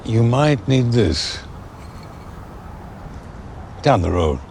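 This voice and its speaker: Slow, gravelly grave underworld man. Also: medium pitch, sing-song, slight background noise, exaggerated voice. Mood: grave, measured. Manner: slow, solemn, clipped delivery. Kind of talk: cinematic action film dialogue. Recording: film audio under loud music.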